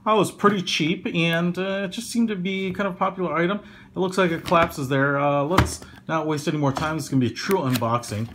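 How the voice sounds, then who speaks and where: A man's voice: fairly high pitch, fairly quick, a bit bright, slight background noise. A young man giving a casual, rambling unboxing review indoors, with lots of fillers and slangy asides. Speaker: product reviewer. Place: small indoor room.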